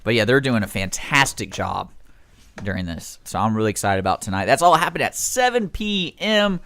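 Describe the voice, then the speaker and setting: A man's voice: lowish voice, very animated, moderate pace, fairly bright tone. A man hosting a radio show, chatting casually in a rambling, teasing way. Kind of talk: casual chat. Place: radio studio.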